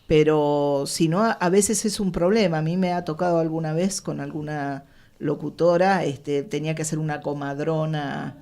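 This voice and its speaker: Creaky-voiced Argentine woman, halting phrasing. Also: low-pitched, steady tone, mellow. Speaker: panelist. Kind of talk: casual discussion with anecdotes.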